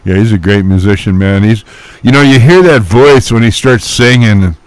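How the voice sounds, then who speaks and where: A man's voice: fairly low pitch, animated, moderate pace, no echo. A man in casual radio chat, telling stories animatedly with loose, mildly profane slang. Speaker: musician chatting on CB radio.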